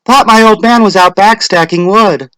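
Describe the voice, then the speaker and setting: A man's voice: sits high, normal speed, pushed and bright, no echo, pitched above the performer's own voice, rough recording. A man voicing a chatty, cheerful middle-aged village housewife with folksy rural grammar in a dramatic story reading. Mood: breezy, cheerful.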